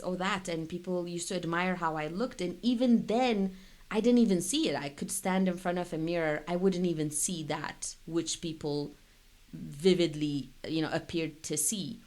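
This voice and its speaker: Low-voiced woman hosting a reflective talk. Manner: thoughtful, searching, with frequent fillers. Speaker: podcast host. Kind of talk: reflective conversational interview.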